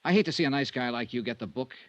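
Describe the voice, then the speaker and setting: An American actor playing a tough, level-headed insurance investigator in vintage radio noir style, clipped and plain-spoken. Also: male voice, medium pitch, racing, no echo, natural voice. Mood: firm, persuasive. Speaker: lead actor and narrator. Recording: dull, dry vintage radio recording.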